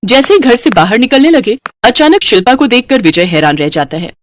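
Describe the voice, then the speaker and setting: Animated woman narrating a story. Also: on the high side, fairly quick, slight background noise. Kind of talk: scripted moral-story narration.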